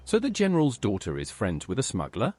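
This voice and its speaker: A man's synthetic voice playing a polite, plain-spoken adventurer in a fantasy game, with a husky tone. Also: fairly low pitch, huge pitch swings, a little fast, a little mellow.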